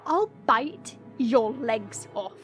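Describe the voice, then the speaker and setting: A woman's voice: on the high side, animated, drawn-out words, breathy edge, soft background music, heavily acted. A woman playing a haughty, hot-tempered dragon princess in human form, indignant and imperious, in an audio roleplay. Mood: scornful, irritated.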